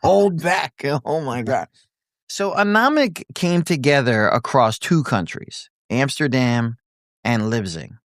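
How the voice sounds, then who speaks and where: Male radio host, mid-pitched, casual and joking, trips over words; dry close sound. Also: lively intonation, slow pace, no room sound. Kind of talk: casual interview hosting.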